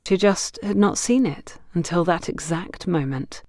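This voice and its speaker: A woman's voice: lowish voice, unhurried, darkish tone, no room sound, clean studio sound. A woman reading scripted fiction as an audiobook narrator.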